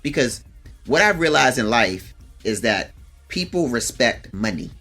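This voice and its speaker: Bright, husky male podcast voice. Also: mid-range voice, sing-song, unhurried, soft background music. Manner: acts out quoted lines, interjects agreement. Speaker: podcast host. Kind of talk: casual animated chat.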